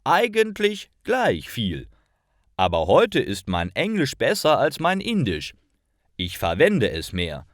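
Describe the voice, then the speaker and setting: A man's voice: medium pitch, huge pitch swings, slow, no echo, studio quality, voice pushed up. A man voicing a cheerful, chatty man of about thirty-five who grins broadly. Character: friendly older-sounding thirty-something man, beaming.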